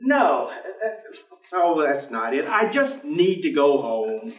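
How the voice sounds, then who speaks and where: An actor in a staged play reading, playing a weary, despairing professor who is dry, guarded and easily flustered into protest. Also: male voice, fairly high pitch, sing-song, takes it slowly. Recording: roomy echoing stage, distant microphone.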